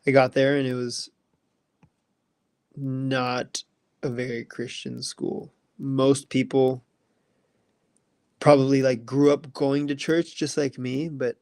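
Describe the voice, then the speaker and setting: Young man in his twenties, gravelly low-key voice, rambling casual chat host, dry close mic. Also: flat delivery, slow, pauses often, darkish tone, no echo. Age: 20s. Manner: loose, rambling, filler-heavy. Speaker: livestream host answering chat.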